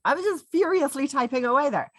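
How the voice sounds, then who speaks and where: A woman's voice: high voice, animated, fast, dry recording. A woman from Dublin with an Irish accent, chatting casually as a panel guest. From Dublin, Ireland. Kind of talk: casual chat.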